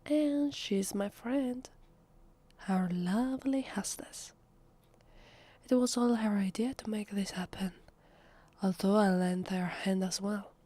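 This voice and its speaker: Girl doing a flustered lady vampire pretending to be human, italian accent, breathy and slow, soft asmr roleplay. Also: mid-range voice. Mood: playful, denying. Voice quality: soft, husky, breathy, half-whispered. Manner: hesitant, slow, drawn-out. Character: evasive, flustered female vampire posing as human.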